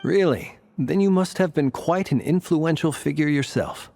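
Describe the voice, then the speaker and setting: A man playing a wry, unflappable lighthouse guardian in a video game, suave and unhurried. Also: on the high side, animated, creaky, darkish tone. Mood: intrigued.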